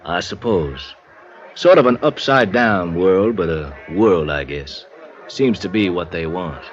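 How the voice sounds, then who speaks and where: An actor playing a laconic, world-weary bar worker in a radio drama, evasive and resigned. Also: male voice, deep voice, lively intonation, a little slow, a little hiss or hum. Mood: guarded, resigned. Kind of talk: 1950s radio acting. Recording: dull old radio recording, faint music. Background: faint music.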